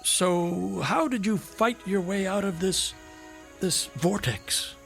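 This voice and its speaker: An actor in a radio drama playing a courteous, inquisitive and enigmatic gentleman traveller with a formal, probing manner. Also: sits high, slow pace, vocal fry, faint music behind, heavily acted. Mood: curious, skeptical.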